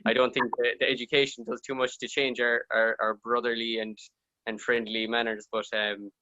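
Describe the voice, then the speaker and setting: A man with an Irish accent, a band member on a video call with a dry, measured humor. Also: mid-range voice, little pitch movement, dull audio, background noise. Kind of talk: casual interview chat.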